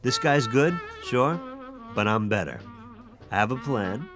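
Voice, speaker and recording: An actor playing an aging, stubborn police detective in a radio drama, terse and gruffly confident. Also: male voice, medium pitch, expressive, measured pace, music in the background, close to an everyday voice. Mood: cocksure.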